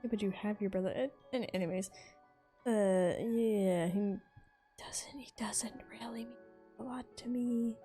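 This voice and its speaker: A woman giving casual, relaxed commentary as she plays through a game, reading along and reacting. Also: medium pitch, unhurried, faint music behind. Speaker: game streamer.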